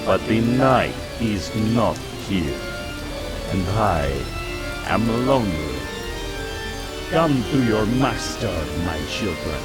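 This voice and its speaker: An actor playing an ancient, commanding vampire count in old-style radio horror, chanting in halting, solemn, hypnotic phrases. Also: male voice, on the low side, heavily acted. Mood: lonely, beguiling.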